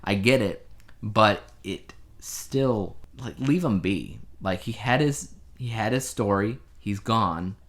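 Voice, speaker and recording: A man's voice: lowish voice, takes it slowly. A man co-hosting a podcast, chatting casually in a rambling, opinionated way about the news. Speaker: podcast co-host.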